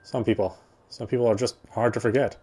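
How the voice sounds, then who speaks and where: A man reading first-person visual novel narration aloud in a soft, reflective, dramatic storytelling way. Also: medium pitch, steady tone, brisk, slight background noise. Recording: music behind the voice. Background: game music.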